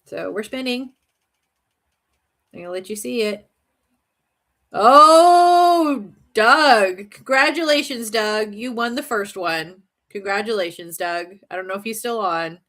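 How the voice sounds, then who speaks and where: A woman's voice: a little high, animated, slow, fairly bright tone. A woman with a slight Southern accent, hosting a casual livestream chat in a rambling, chatty way. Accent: slight Southern American. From northern United States. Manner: chatty and rambling, many fillers and giggles. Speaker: livestream host.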